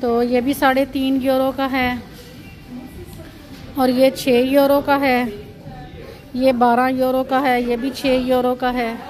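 A woman's voice: high-pitched, level tone, slow pace, pauses often, a little mellow, soft background music. A woman from Pakistan, narrating a casual walking tour as a vlogger and pointing things out as she goes, recorded on a handheld phone. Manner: pointing things out, repeating "look at this". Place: chocolate shop and busy shopping street.

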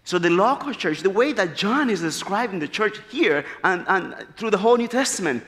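A man in his thirties from the Republic, preaching an earnest, animated sermon at a church pulpit. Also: sits high, moderate pace. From Dominican Republic. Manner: animated, gesturing freely, earnest storytelling. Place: large church sanctuary, at a pulpit. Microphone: pulpit mic. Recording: long church hall reverb.

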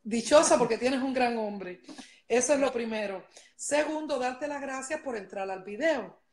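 A woman's voice: on the high side, moderate pace, a little mellow. A middle-aged woman with a Cuban accent chatting casually on a livestream in an emphatic, repetitive way, addressing viewers directly. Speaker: livestream host. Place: large living room at home. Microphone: wired earphone mic.

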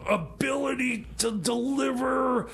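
Man playing high, creaky, stammering radio sidekick. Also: fairly bright tone, slight background noise, full character voice. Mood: awkwardly critical. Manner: halting, stammering, repeats words. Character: nagging, hesitant male time-machine mechanic sidekick Jeb. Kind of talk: bantering comedy radio theater.

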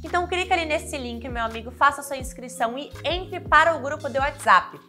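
A woman with a Brazilian accent speaks in a high, bright voice. She coaches the viewer informally and directly, as in an online advice video. Soft music plays behind her. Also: fairly quick. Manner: direct, chatty, addresses the viewer. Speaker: video host, sex and relationship coach. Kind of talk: informal instructional talk to camera. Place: small indoor studio with shelves. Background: background music.

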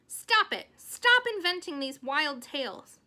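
Woman acting a stern, worried storybook mother, bright voice. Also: sits high, lively intonation, normal speed, pitched above the performer's own voice. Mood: snapping. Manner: firm, scolding, exasperated.

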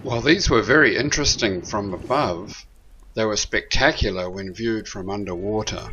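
A man narrating a sailing trip in a calm, measured, matter-of-fact voice-over. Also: fairly low pitch, lo-fi sound. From New Zealand. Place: recorded separately from the pictured scenes. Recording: voice-over with loud background music.

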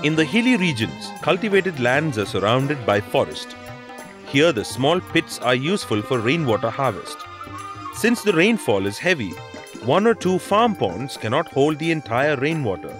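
A man's voice: mid-range voice, big pitch swings, normal speed. A man reading scripted instructional narration for a documentary. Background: background music.

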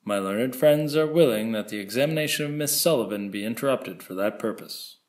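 A man's voice: medium pitch, animated, brisk, natural voice. A man playing a courteous 1890s district attorney, politely and formally addressing the judges in a dramatic reading.

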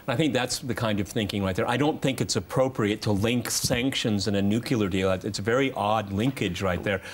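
A man in his fifties moderating a political panel, halting and repeating words for emphasis. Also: fairly low pitch, a little hiss or hum. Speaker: talk show host and moderator. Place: television studio round table.

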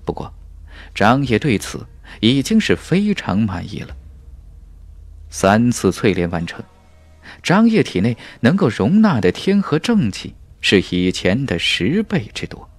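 A man's voice: medium pitch, wildly swooping pitch, a little slow, no room sound, soft background music. A man narrating a fantasy novel as an audiobook, in a dramatic, emphatic storytelling style.

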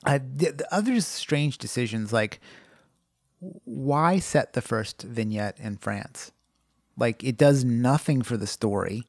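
A man's voice: medium pitch, expressive, takes it slowly, creaky, no room sound, studio quality. A man co-hosting a podcast in casual chat, rambling with many "like"s and restarts. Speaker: podcast co-host.

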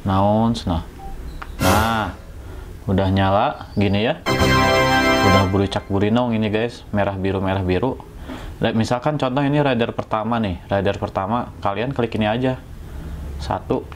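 A man's voice: fairly low pitch, steady tone, normal speed, pauses often, a little hiss or hum. A man with an Indonesian accent from West Java, giving a casual, slangy, jokey hands-on tutorial as a motovlogger. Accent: Sundanese-region Indonesian. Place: indoors at home.

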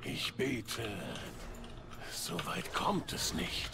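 A brooding fantasy game alchemist speaks slowly and solemnly in a gravelly voice. His wary, theatrical lines sweep up and down over music. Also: medium pitch, animated, background noise, exaggerated voice. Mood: grim, resolute. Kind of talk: scripted fantasy game acting.

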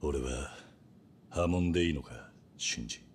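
An actor playing a stoic, terse yakuza lieutenant in prison, gruff and commanding, in a crime video game. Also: male voice, a bass voice, unhurried, slight background noise. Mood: grave, subdued.

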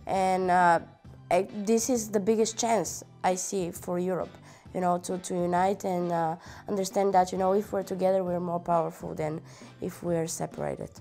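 A woman's voice: fairly low pitch, fairly even intonation, normal speed, vocal fry, dark tone, faint music behind. A young woman from Bulgaria talking earnestly in an interview, with frequent fillers. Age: young adult. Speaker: filmmaker. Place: indoor room with piano.

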